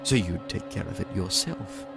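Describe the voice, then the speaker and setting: An actor playing a cold, menacing authority figure in an audio drama, speaking in a measured, condescending, threatening way. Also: male voice, sits low, creaky. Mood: cold menace. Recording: music bed under the voice.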